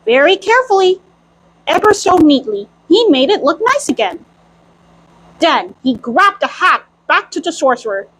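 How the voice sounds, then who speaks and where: A woman's voice: extremely high pitch, big pitch swings, normal speed, a bit bright, low-quality recording. A woman doing a storytime in a childlike, playful manner, role-playing as a cartoon mouse girl. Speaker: storyteller in costume. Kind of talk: in-character storytime reading and chat.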